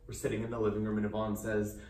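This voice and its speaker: A man's voice: on the low side, monotone, quick pace, darkish tone, natural voice. A man performing a theatrical solo monologue as a needy, troubled teenage boy magician who delivers showman patter and swings abruptly between coy and explosive. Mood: confiding, eager. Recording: roomy, distant mic, faint noise.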